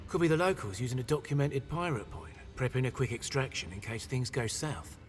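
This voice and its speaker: An actor playing a practical, tech-savvy mercenary mechanic in a video game, casual and plain-spoken. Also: male voice, medium pitch, expressive, fairly quick, faint music behind, lightly acted. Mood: matter-of-fact. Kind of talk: cinematic game voice acting.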